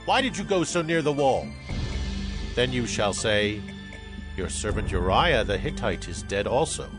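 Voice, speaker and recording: An actor playing a firm army commander giving instructions in a dramatized scripture recording. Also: male voice, mid-range voice, lively intonation, unhurried, music in the background, natural voice. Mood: instructive, mimicking anger. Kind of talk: dramatized scripture audio acting.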